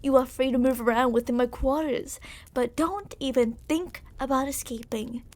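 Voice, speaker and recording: A woman's voice: high voice, moderate pace, a little mellow, natural voice. A woman playing a smug, menacing yandere royal captor in an ASMR roleplay, speaking in a theatrical, condescending, mock-affectionate way. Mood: threatening, condescending.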